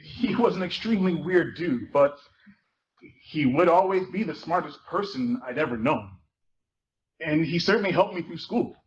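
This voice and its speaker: A man giving an earnest, measured first-person dramatic reading with pauses. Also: fairly high pitch, animated, highs cut off, slight background noise.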